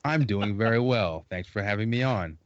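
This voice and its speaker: A middle-aged man from Trinidad, raised in South Carolina, chatting casually as a podcast guest in a jokey, animated way with many restarts. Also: fairly low pitch, moderate pace, highs cut off. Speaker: podcast guest, basketball writer. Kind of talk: casual chat.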